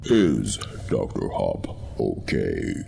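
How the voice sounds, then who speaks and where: A concerned rabbit officer acted by a man in an audio drama. The voice is extremely low, dark and slow, with heavy gravel and a subdued, halting delivery. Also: wildly swooping pitch, a little hiss or hum, full character voice.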